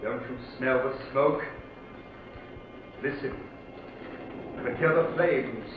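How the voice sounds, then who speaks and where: A man's voice: mid-range voice, takes it slowly, muffled recording, noisy background, close to an everyday voice. An actor playing a grave, bitter ghostly sea captain guarding his treasure, solemn and commanding in old-time horror radio style. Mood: bitter, grim. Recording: band-limited old radio, echoey, distant, music underneath.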